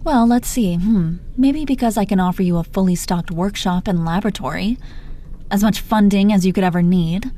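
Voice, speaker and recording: A woman playing a menacing yet tender, possessive supervillain in an intimate audio roleplay, speaking in a sultry, deliberate way with pet names for the listener. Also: mid-range voice, mellow, lightly acted. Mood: seductive offer.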